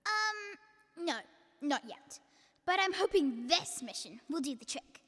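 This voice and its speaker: A woman's voice: extremely high pitch, big pitch swings, slow pace, fairly bright tone, heavily acted. A young woman playing a naive, eager apprentice angel in a radio drama, chirpy and earnest. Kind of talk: stage-like radio drama acting. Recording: long hall-like reverb effect.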